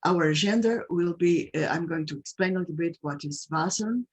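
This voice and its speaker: A woman from Portugal with a Portuguese accent, hosting a webinar as a patient advocate and speaking in halting English with many fillers and restarts. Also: lowish voice, husky. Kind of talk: webinar presentation.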